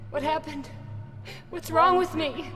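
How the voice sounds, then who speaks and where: Actress as captive young woman, very high-pitched, frightened, defiant, pleading, echoing hall, music behind. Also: measured pace, a bit bright. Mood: dazed, frightened. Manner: pleading, emphatic. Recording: long reverb, music underneath.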